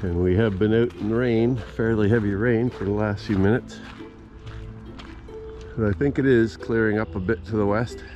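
A man's voice: on the low side, fairly even intonation, moderate pace, darkish tone, dull audio, faint music behind. An older man narrating a walk outdoors in a casual, understated, musing way into a handheld camera. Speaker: vlogger. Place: outdoors on a rural trail in rain. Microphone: handheld camera at arm's length.

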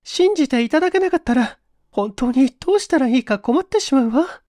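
A woman playing an anxious, high-pitched young woman suspect. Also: expressive, a little fast, darkish tone, no room sound, studio quality. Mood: anxious, pleading. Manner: polite, feminine, hesitant old-fashioned phrasing.

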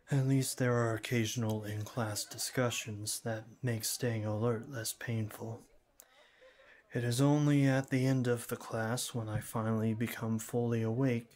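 A man playing a shy, earnest young college student with a cool front, halting and formal, in a scripted ASMR roleplay. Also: mid-range voice, a little mellow, close to an everyday voice. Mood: matter-of-fact. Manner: halting, stammering, formal wordy phrasing.

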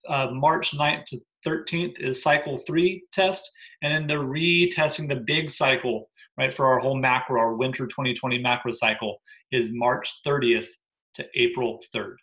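A man in his thirties, a gym programming coach, briefing gym owners from a living room on his laptop webcam, emphatic and energetic with frequent "right?" asides. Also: mid-range voice, poor audio.

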